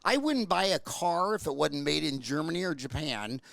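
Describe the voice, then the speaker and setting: A man's voice: fairly high pitch, sing-song, normal speed, gravelly, a bit bright, dry recording. An American man hosting an interview podcast, chatting casually and telling jokes with folksy asides. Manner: joking storyteller, folksy asides. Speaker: podcast host.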